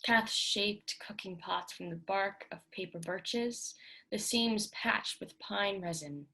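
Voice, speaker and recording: A woman's voice: medium pitch, slow, creaky, pushed and bright, poor audio. A woman giving a dramatic reading of literary fiction as scripted narration.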